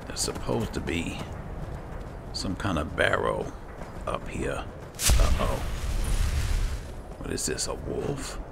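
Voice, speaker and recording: Man, very low pitch, heavy vocal fry, unhurried muttering, in-character game commentary. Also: sing-song. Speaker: gamer playing in character. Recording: clearly noisy, game ambience behind.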